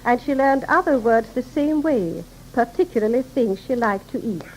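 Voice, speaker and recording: A woman with a high voice speaks as a guest on a 1930s radio interview. Her delivery is formal, articulate and explanatory. Faint noise sits over the old broadcast recording. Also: moderate pace, darkish tone, low-quality recording.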